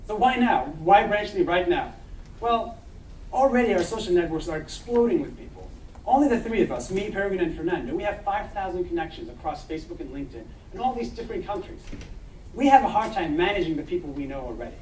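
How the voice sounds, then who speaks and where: A young man from Israel giving an eager startup pitch, talking fast and restarting his phrases. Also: lively intonation, a little mellow, a little hiss or hum. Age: young adult. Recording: echoey hall, mic from far off.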